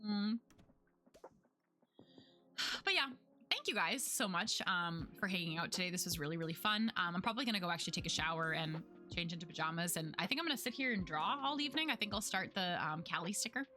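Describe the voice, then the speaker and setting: A young woman streamer chatting casually to her viewers, full of "like" fillers and quick laughs, her voice getting worn out. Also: on the low side, expressive, bright, forceful tone, soft background music. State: losing her voice. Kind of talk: casual chat with live chat.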